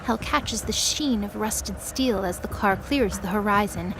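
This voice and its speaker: A woman's voice: mid-range voice, measured pace, background noise. A woman reading scripted dramatic narration for a mythology audio drama. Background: music behind the voice.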